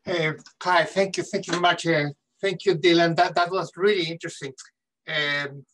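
A man's voice: a little high, fairly even intonation, a bit bright, recorded from a distance, a little hiss or hum. A middle-aged man from Chile speaks English with a Chilean Spanish accent, stopping and repeating small words as he feels his way through a long question. He talks into a laptop on a video call from a home study, his voice sitting a little back from the microphone. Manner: halting, repeats words while searching for them. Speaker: audience questioner. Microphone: laptop webcam mic on video call.

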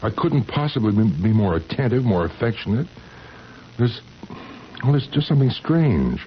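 An actor playing an earnest, devoted husband in 1970s radio drama, hesitant and pleading. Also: male voice, mid-range voice, sing-song, moderate pace, natural voice. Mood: defensive, pleading. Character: earnest devoted husband telling his strange story. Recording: dull, noisy 1970s radio recording.

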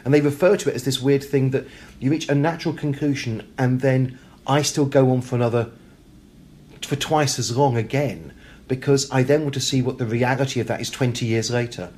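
A man's voice: mid-range voice, steady tone, brisk, a little mellow. Emphatic male author with noisy background. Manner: emphatic, acts out imagined quotes and questions. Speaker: author being interviewed. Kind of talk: animated, reflective conversational interview. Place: hotel. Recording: background room noise.

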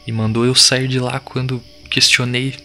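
Man playing a gravelly, urgent village boy. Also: medium pitch, flat delivery, sharp tone, soft background music. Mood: grim, urgent. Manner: urgent, slangy, halting storytelling. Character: shaken, defiant village boy urging his friends to flee. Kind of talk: audio drama acting.

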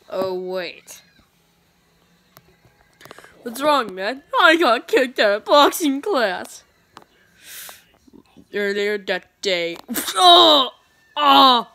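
A woman reads a comic aloud, giving every panel its own goofy character voice. Her voice is bright and a touch high, and she takes her time over the lines. She sounds like she's holding a phone up to a tablet in a dim room. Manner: playful, switches voices for each character. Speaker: comic dubber.

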